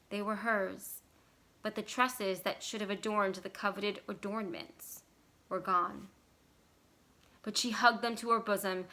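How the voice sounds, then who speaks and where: A woman reading a short story aloud as scripted narration, with expressive, deliberate and dramatic phrasing. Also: medium pitch. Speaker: library staff storyteller.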